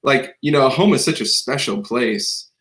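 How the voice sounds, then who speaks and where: A man's voice: mid-range voice, expressive, moderate pace, fairly bright tone. A man hosting a podcast interview, talking in a loose, rambling way with many restarts. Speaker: podcast host. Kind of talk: conversational interview.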